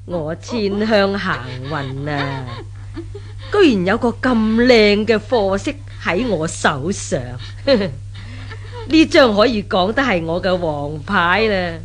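An actress playing a shrewd, greedy, cackling brothel madam in a 1970s Cantonese radio drama from Hong Kong. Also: female voice, mid-range voice, sing-song, very slow. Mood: gloating.

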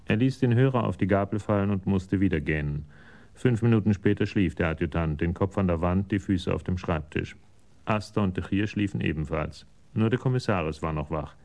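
Male narrator for radio crime drama: deep register, measured, sober scripted storytelling. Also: a little mellow.

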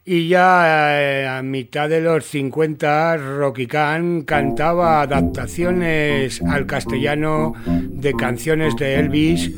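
Higher-pitched Spanish man hosting music radio. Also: fairly even intonation, slow. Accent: Spain. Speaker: radio host of a vintage music show. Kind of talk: music radio presenting, semi-scripted.

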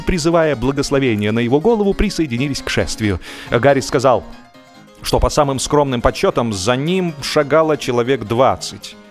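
Male narrator, medium pitch, theatrical humorous storytelling with measured pauses, light music underneath. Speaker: audiobook narrator.

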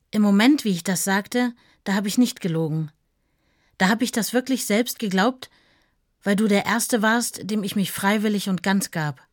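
An actress plays a young woman devoted to her beloved. She reads love letters in an earnest, pleading way, at a medium pitch. The sound is very dry and intimate, as in a documentary drama. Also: no echo, natural voice.

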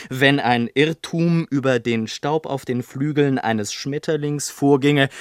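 Radio play casting: man playing witty, pompous gentleman narrator, theatrical and rhetorical, unhurried, very dry close sound. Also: medium pitch, no room sound, natural voice. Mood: ponderous, earnest. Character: witty, pompous gentleman narrator telling his life story.

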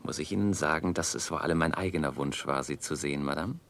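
A low male voice plays a courtly, self-assured nobleman in a radio play. His is polished and gallant, with some noise on the recording. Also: quick pace, gravelly, a bit bright, heavily acted. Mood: gallant, flattering. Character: suave, courtly, self-assured aristocratic chevalier. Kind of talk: theatrical German radio drama acting.

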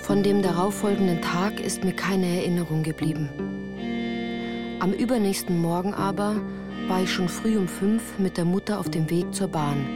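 Lowish woman narrator over music. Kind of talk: scripted first-person narration in a radio play.

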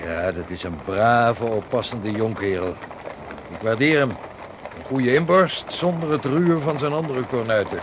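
Man voicing a jovial sea captain, radio play, mid-pitched, outdoor street noise. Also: a little slow, lightly acted. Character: hearty sea captain and mapmaker, adult man. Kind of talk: period radio drama acting.

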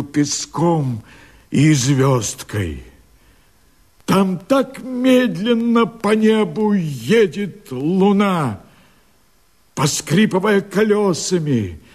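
A man's voice: fairly high pitch, slightly rough, heavily acted. A man acting a desperate, fiery rebel leader in a radio play, declaiming verse in an impassioned, oratorical way. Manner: impassioned, oratorical, drawn-out phrasing. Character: fiery desperate male rebel leader rallying his men.

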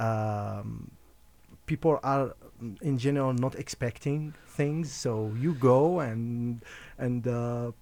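A man's voice: medium pitch, gravelly, darkish tone. A man from Algeria who grew up speaking Arabic and French, a radio guest talking casually with many fillers and restarts. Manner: halting, many fillers and restarts, laughs often.

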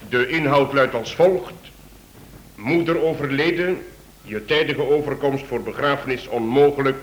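An actor playing an authoritative, dryly ironic chief police inspector in a radio drama, formal and measured. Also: male voice, medium pitch, background noise, lightly acted. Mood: official, probing. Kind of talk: Dutch radio drama acting.

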